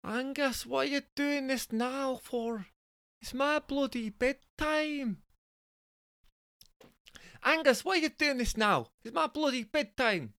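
An exasperated, hungover Scottish girlfriend, acted by a man, pitched well above his own voice. She whines and scolds in a Scottish accent, as a comic story character. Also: slow pace, gravelly, pauses often, pushed and bright, smooth, no room sound, studio quality, exaggerated voice. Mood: irritated. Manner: whining, scolding.

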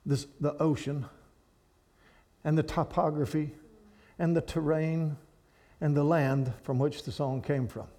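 Man, preaching, rambling with restarts, slightly high voice. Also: fairly even intonation, measured pace, long pauses, warm tone. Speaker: guest speaker at a church. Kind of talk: sermon to a congregation.

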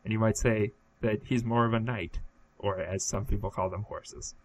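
A man co-hosting a podcast in casual comedic chat, delivering absurd jokes in a dry, deadpan way. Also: on the low side, normal speed, low-quality recording. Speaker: podcast co-host.

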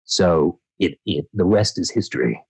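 A male voice actor being interviewed, telling expansive, animated anecdotes with frequent restarts. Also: deep voice, unhurried, creaky, breathy edge, no echo, poor audio. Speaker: voice actor guest. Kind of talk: interview answers, storytelling.

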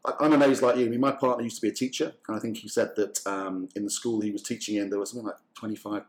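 Male host, brisk eager delivery, a bit low, echoey room. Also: steady tone. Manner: quick, eager, repeats words. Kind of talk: casual group chat.

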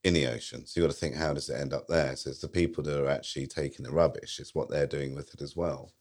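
A man's voice: very deep voice, steady tone, fast, a little mellow. A man who is a guest on a casual podcast chat. He rambles, jokes and restarts his sentences often.

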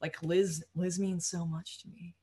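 A young woman musician with a low voice, talking casually in an interview, rambling with lots of fillers and quick laughs. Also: level tone, warm tone, husky. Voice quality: low-register voice. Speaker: musician guest.

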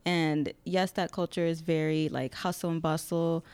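Young woman guest, casual and laid-back, dry close sound, crisp tone. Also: deep voice, normal speed, dry recording. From Connecticut, US. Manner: relaxed, laughs often, slangy. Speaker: podcast guest. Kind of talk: casual interview chat.

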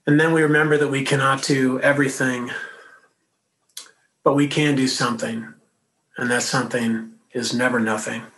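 Bright-voiced man preaching gently. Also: medium pitch, little pitch movement, normal speed, long pauses, rough recording. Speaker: minister. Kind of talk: sermon.

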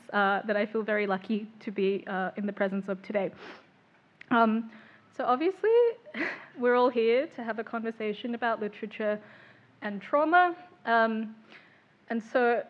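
A woman's voice: fairly high pitch, lo-fi sound. A woman chairing a literary panel, introducing writers with frequent ums and small laughs.

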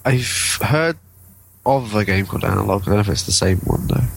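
Creaky, animated man chatting about games. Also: lowish voice, a little fast. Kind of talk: casual chat about video games.

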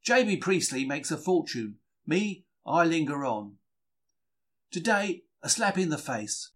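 A man giving an expressive, wry first-person reading of a diary. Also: on the high side, unhurried. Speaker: diary reader.

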